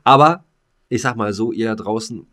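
Low-voiced man, joking podcast host. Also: normal speed, pushed and bright. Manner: joking, rambling, repeats his phrases. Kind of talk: casual comedic podcast chat.

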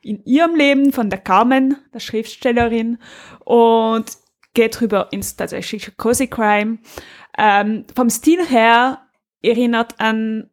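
A woman's voice: fairly high pitch, steady tone, takes it slowly. A woman with an Austrian accent co-hosting a podcast in a casual chat, recommending audio dramas. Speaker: podcast co-host.

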